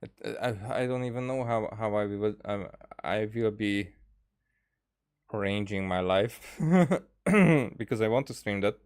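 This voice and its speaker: A man livestreaming a game in a casual, rambling way, often halting and trailing off mid-thought. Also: on the low side, clear, resonant tone. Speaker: game streamer. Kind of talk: casual livestream chat over gameplay.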